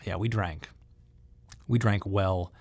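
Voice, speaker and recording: A man delivering scripted dramatic narration in a radio monodrama, reflective and measured, with emphatic pauses. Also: low-pitched, fairly even intonation, darkish tone. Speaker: playwright performing his autobiographical monologue.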